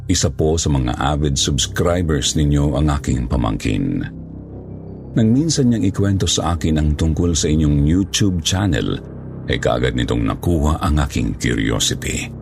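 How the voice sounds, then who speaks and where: A man with a gravelly, husky voice reading a scripted first-person horror story as its narrator. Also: extremely low pitch, big pitch swings, moderate pace, music in the background.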